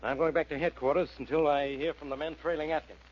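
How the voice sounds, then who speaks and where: An actor playing a blustering, impatient police inspector in 1940s radio style. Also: male voice, on the high side, fairly even intonation, fast talker, a little hiss or hum, lightly acted. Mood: businesslike. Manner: gruff, impatient, emphatic. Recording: dull old radio recording.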